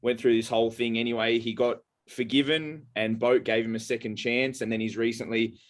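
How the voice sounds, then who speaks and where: A man co-hosting a casual podcast, telling stories in a rambling, halting way with many fillers. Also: medium pitch, fairly even intonation. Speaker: podcast co-host. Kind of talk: casual chat.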